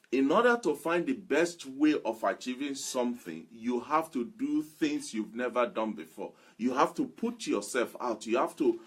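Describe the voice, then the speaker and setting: A man giving a motivational sermon, speaking emphatically and repeating phrases deliberately for weight. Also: medium pitch, slightly rough. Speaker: motivational preacher.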